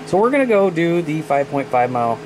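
A man in his thirties with an American accent talks casually as a vlog host. His voice sits a little high. There is clear ambient noise behind him. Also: sing-song, brisk. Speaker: vlog host reviewing radios.

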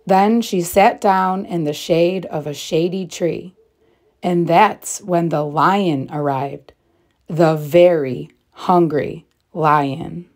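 A woman narrating a children's story as a read-aloud, deliberately stressing and stretching key words. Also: lowish voice, darkish tone. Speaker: storybook reader, teacher.

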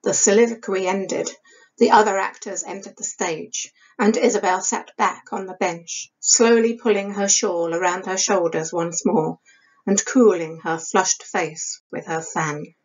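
A woman in her sixties reading historical fiction aloud as the author, in an expressive audiobook style. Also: mid-range voice, unhurried, husky. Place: small home study, indoors. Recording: dull lo-fi webcam sound.

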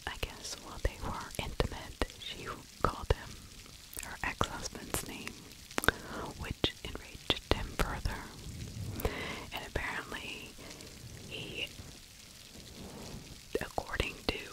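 Whispering woman, slow ASMR storyteller. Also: rough recording. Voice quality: breathy, unvoiced whisper. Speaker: ASMR true crime storyteller. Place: small indoor room. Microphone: large furry-windscreened mic, held very close to the mouth.

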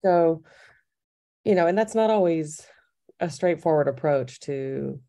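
A woman's voice: deep voice, expressive, measured pace, dark tone. A woman, a furniture designer as an interview guest, speaking thoughtfully and searching for words. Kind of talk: casual interview conversation.

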